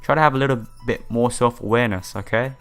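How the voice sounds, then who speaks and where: A man's voice: medium pitch, fast, smooth. A man hosting a casual let's-play, reading game text aloud and adding informal asides. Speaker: gaming video host. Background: soft game music.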